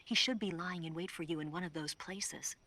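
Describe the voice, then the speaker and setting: A knowing female spy in a video game. Her voice is low and husky but bright and crisp-edged, and she gives calm, hushed, measured briefings like a confidante. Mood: informative, hushed. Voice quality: breathy, husky.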